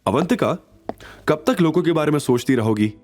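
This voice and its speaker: A man's voice: on the high side, lively intonation, moderate pace, darkish tone, voice pushed up. A man playing a caring, protective young man, earnest and coaxing, in an audio drama. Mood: protective, reassuring.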